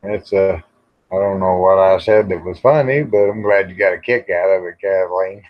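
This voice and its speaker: A man's voice: deep voice, moderate pace. A folksy, plain-spoken American man chatting casually and rambling to viewers on a livestream. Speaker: livestream host. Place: outdoors in the evening. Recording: dull lo-fi livestream audio.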